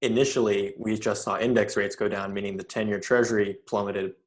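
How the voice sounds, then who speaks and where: Lower-voiced man hosting an analytical interview. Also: fairly even intonation, a little fast. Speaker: interview host, real estate investor. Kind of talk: interview questions and market commentary.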